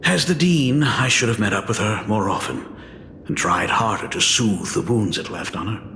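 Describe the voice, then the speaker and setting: A man's voice: lowish voice, normal speed, pushed and bright, slight background noise. A middle-aged actor playing a polite, hesitant male school dean and father in a video game. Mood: measured. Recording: reverberant game audio with music.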